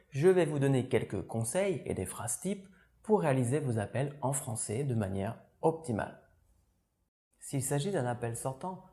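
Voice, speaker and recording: A man narrating a scripted language lesson as the teacher, in a formal, measured, instructional manner. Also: medium pitch, warm tone.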